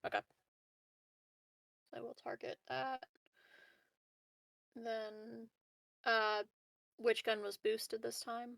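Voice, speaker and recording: Very creaky-voiced woman, unhurried. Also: fairly high pitch, little pitch movement, fairly bright tone, no room sound. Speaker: player in a tabletop game. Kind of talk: casual game-table chat.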